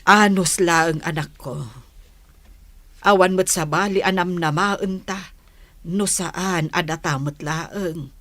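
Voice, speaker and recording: A woman acts as an older Ilocano mother in a village radio play. She speaks slowly and patiently, gently consoling, in a dry, close recording. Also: fairly low pitch, no echo. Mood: gentle, resigned. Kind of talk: Ilocano radio drama acting.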